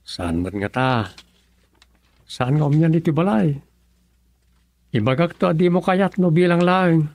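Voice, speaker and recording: A man acts an earnest village husband with an Ilocano accent, hesitant and coaxing. His voice is fairly high for a man and full of creak, in radio drama style. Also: animated, unhurried, long pauses, a little mellow, slight background noise. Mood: concerned, coaxing.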